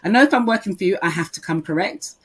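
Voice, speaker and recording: A woman's voice: medium pitch, animated, fast talker, slightly rough. A woman hosting a podcast, introducing her guest enthusiastically and asking about her background. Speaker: podcast host.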